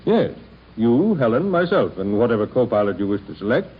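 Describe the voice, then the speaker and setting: An actor playing a genial, brisk older businessman father in an old radio drama, hearty and authoritative. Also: medium pitch, big pitch swings, slightly rough, highs cut off. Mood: brisk, commanding. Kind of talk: 1930s-40s radio acting.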